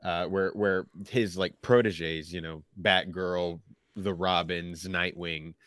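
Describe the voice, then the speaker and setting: A man co-hosting a casual chat, laying out his opinions in a halting, think-aloud way. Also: low voice, fairly even intonation, fairly bright tone, clear, resonant tone, no room sound, clean studio sound. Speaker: co-host of a pop culture discussion show.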